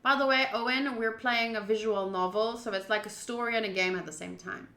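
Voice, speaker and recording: A woman livestreaming a visual novel playthrough, switching between casual chat and expressive reading of the game text aloud. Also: on the high side, fairly quick, roomy sound. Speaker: streamer playing a visual novel.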